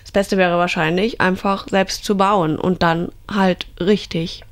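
A woman's voice: fairly low pitch, fairly even intonation, a little slow. A woman in her thirties from Germany, telling a story in a casual interview. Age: 30s. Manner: chatty storytelling. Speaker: interviewee, homeowner.

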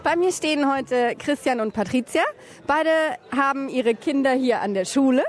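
A woman reporter with a slightly high voice does an interview on location. She asks the parents and children short questions in a friendly, curious way. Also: normal speed, faint music behind.

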